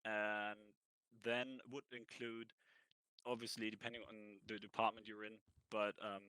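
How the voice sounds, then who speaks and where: A man with a cold answering interview questions in a roleplay, halting and thinking aloud as he goes. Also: lowish voice, little pitch movement, bright, forceful tone, clean tone, dry recording, rough recording. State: has a cold. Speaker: ranger job applicant.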